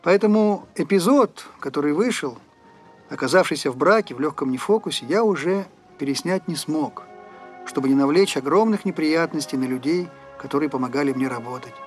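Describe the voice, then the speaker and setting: A man, the film's director, narrating his documentary in a measured, personal first-person voice-over. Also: fairly high pitch, lively intonation, faint music behind.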